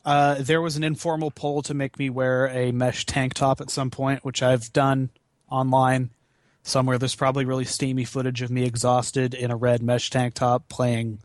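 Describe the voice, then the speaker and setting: A man talks casually as a guest on a podcast. His voice sits at a medium pitch and is recorded very dry. He is wry and self-deprecating, often hesitating as he goes. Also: completely flat delivery, moderate pace, no room sound. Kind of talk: casual chat, comic read-aloud of fan fiction.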